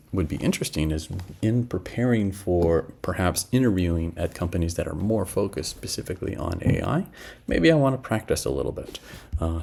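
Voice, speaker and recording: A middle-aged man giving a casual product demo, halting and thinking aloud as he talks at his desk. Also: deep voice, gravelly, a little mellow. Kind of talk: casual screen-share demo talk. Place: small indoor room at home.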